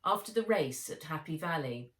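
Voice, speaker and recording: A woman's voice: low voice, lively intonation, normal speed. A woman voicing an uneasy but defiant orphan girl in a storybook, with short, guarded, firm replies. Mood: hasty, uneasy. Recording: roomy echo, distant microphone.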